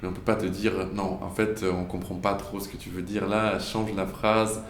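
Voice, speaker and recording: A man's voice: low-pitched, flat delivery, normal speed, roomy sound, slight background noise. A young man from Ukraine, an actor giving hesitant, reflective interview answers and often searching for words. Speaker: actor interviewed about his play.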